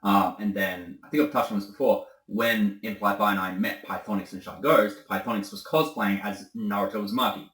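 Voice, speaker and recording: A man hosting a casual interview, relaxed and agreeable, often answering with short yeahs. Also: on the low side, brisk, few pauses, echoey room, poor audio. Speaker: interview host. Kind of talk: casual conversation.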